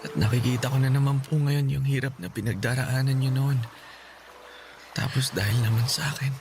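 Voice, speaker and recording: An actor with a Filipino accent playing a gentle, brave, sickly young son in a radio drama, speaking respectfully and tenderly. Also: male voice, medium pitch, fairly even intonation, normal speed, lightly acted. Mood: concerned, tender. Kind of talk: Tagalog radio drama acting. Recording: clearly noisy ambient background.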